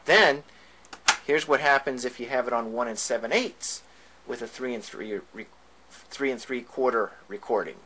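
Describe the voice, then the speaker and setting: A man's voice: mid-range voice, moderate pace. A man with a mid-range voice talks through an informal demonstration, explaining things step by step. There is some faint background noise, as on a home video. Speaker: demonstrator of vintage tape equipment. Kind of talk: informal hands-on tutorial.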